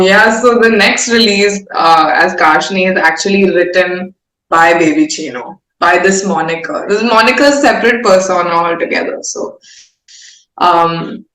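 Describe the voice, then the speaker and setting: A woman's voice: on the low side, fairly bright tone. A young woman with an Indian accent chatting candidly and informally in an interview, with a playful way of talking. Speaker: singer-songwriter being interviewed. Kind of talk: casual interview conversation. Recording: roomy, echoing sound.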